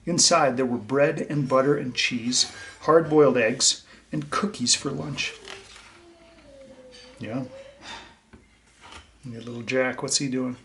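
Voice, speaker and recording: A man with a mid-pitched voice reads aloud for children in a simple, explanatory way. He speaks directly to his young listeners, as a teacher would. There is some noise and clicking on the recording. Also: slow pace, pauses often, fairly bright tone. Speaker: teacher reading a picture book aloud to children.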